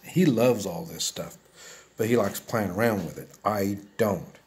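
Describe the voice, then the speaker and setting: A man in his seventies talking casually and plainly to viewers as he shows gear, halting and rambling, often repeating himself. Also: fairly low pitch, slightly rough. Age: 70s. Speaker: video host.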